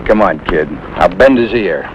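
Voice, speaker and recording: An actor playing a gruff but friendly American military instructor in a 1950s TV drama. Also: male voice, low-pitched, expressive, moderate pace, background noise. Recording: muffled 1950s TV soundtrack, loud music.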